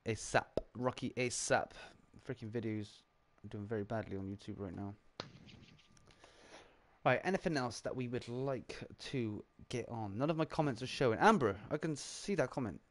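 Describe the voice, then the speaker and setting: Male streamer host, casual and rambling, average pitch. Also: moderate pace. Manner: laid-back, rambling, lots of "like" and "dude". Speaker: channel host on a live round-table stream. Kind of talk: casual chat.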